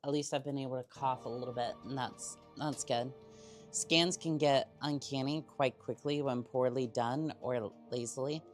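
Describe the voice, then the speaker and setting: Very deep-voiced sick woman streamer. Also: fairly even intonation, faint music behind. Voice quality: husky, strained voice. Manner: rambling, halting, many fillers and restarts. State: sick with a cough. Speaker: VTuber streamer. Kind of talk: casual live-stream chat while gaming.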